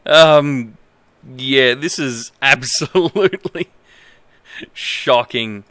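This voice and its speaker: An Australian man in his thirties reading aloud on a livestream in a halting, exaggerated way, with incredulous asides. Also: mid-range voice, a bit bright, no echo. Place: indoor home streaming setup.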